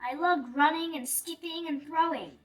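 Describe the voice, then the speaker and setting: A woman reading a picture book aloud for young children in an animated, deliberate storytelling manner. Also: sits high. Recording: distant mic with music behind. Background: music.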